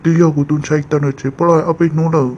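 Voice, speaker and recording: A man's voice: on the high side, flat delivery, very fast, a little mellow, close to an everyday voice. A man voicing a male character in a family drama cartoon, in dramatic dialogue. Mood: conversational. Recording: dull lo-fi, faint noise.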